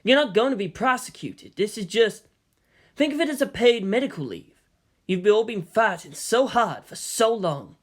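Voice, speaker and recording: A narrator playing a weary male army general, formal and measured, who reasons and pleads firmly. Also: mid-range voice, animated, pitched above the performer's own voice, lightly acted. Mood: weary, placating. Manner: measured, official, placating.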